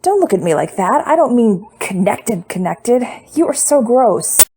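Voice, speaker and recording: A woman's voice: fairly high pitch, sing-song, unhurried, warm tone, a little hiss or hum, voice pushed up. A woman reading the lines of an earnest, pushy younger brother, an adult man, in an audiobook of fan fiction.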